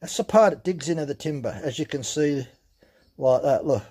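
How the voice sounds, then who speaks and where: A man explaining things step by step in a relaxed, plain-spoken way during a workshop demonstration. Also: on the high side, moderate pace, slightly rough. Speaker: chainsaw channel host. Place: workshop bench.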